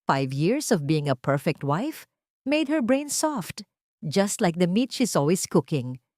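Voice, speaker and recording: A woman's voice: lowish voice, big pitch swings, takes it slowly, a little mellow, dry recording. Woman voicing a cold, contemptuous husband, dry recording. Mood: cold mockery. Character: scornful, dismissive cheating husband, cold and arrogant.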